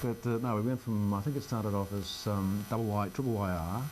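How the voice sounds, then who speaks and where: A man's voice: lowish voice, steady tone, fairly quick, darkish tone, slight background noise. An older Australian man, a local radio presenter, chatting informally in an interview with a wry, self-deprecating manner. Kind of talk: casual interview chat. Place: radio studio.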